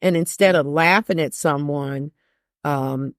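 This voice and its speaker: A woman's voice: unhurried, no room sound, clean studio sound. An older woman, a jazz singer, talking candidly as a podcast guest and stressing her points by repeating them. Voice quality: deep voice. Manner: emphatic, repeats phrases for stress.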